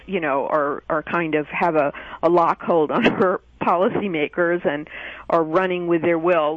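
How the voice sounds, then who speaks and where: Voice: medium pitch, no room sound. A radio interview host asking questions hesitantly, with many fillers and restarts. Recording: tinny, dry phone-like radio line.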